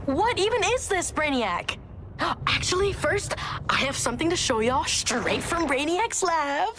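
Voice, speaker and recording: High-pitched, cocky young woman vigilante. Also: sing-song, unhurried, noisy background. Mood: excited. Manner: chatty, slangy, playful, sarcastic. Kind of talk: casual livestream-style video game cutscene acting.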